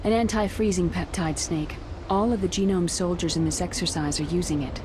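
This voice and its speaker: A woman's voice: lowish voice, brisk, background noise, lightly acted. An actress playing a composed female military scientist in a video game, clinical and instructive. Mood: matter-of-fact. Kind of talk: dramatic video game voice acting.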